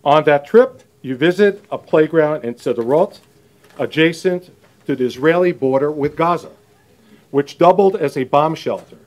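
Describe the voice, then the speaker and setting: A man's voice: fairly high pitch, moderate pace. A man giving a chatty, anecdotal speech at a podium, dropping in self-deprecating asides. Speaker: event emcee.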